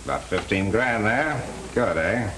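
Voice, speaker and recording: A man's voice: fairly low pitch, expressive, measured pace. An actor playing a businesslike racketeer henchman in a 1930s film, on a noisy, crackly soundtrack.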